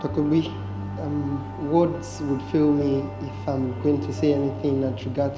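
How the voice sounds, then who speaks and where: A man's voice: medium pitch, little pitch movement, unhurried, dull audio. A man, a stage actor, giving a heartfelt spoken tribute to a late mentor. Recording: echoey room, music over the voice.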